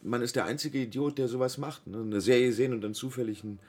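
A man's voice: mid-range voice, steady tone, darkish tone, lightly acted. An actor playing a shy, awkward male hospital patient in a radio play, speaking hesitantly and nerdily. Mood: enthusiastic, sheepish. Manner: hesitant, halting, nerdy when explaining. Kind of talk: naturalistic radio play dialogue and first-person narration.

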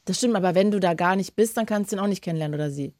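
A woman's voice: on the low side, dry recording, clean studio sound. A woman hosting a radio call-in show, chatting casually and giving callers advice. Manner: chatty, warm-hearted advice, fast talking. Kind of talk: casual radio phone-in chat.

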